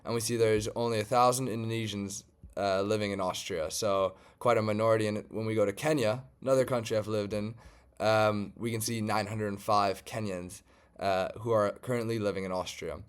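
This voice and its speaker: A man from Germany talks through a data query as he clicks along his screen. His voice sits fairly low and sounds smooth and clear. He keeps the tone loose and chatty, with many small "uh"s along the way. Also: fairly quick. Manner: relaxed, conversational, frequent "um" and "uh" fillers. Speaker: data scientist. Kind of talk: screen-share tutorial walkthrough.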